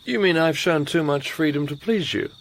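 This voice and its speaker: A man's voice: fairly high pitch, moderate pace, a little hiss or hum, natural voice. An actor playing a proud, sceptical young Englishman in a radio drama. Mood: wounded, indignant. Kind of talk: radio drama dialogue and first-person narration.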